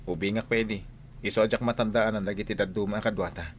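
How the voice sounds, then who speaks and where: A man's voice: on the low side, fairly even intonation, a little fast, a little hiss or hum, lightly acted. A man playing a coaxing son, the young master of the house, in an Ilocano radio comedy drama.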